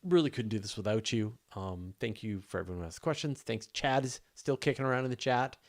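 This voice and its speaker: A man's voice: medium pitch, animated, a little fast. A man hosting a live astronomy question show, chatting casually and off the cuff with informal enthusiasm.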